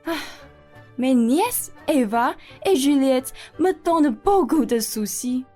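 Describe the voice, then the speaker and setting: A woman's voice: high-pitched, lively intonation, takes it slowly, darkish tone, faint music behind, heavily acted. A woman playing a rich, effusive aristocratic lady in a student radio play, speaking grandly with theatrical sighs. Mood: chatty, gracious.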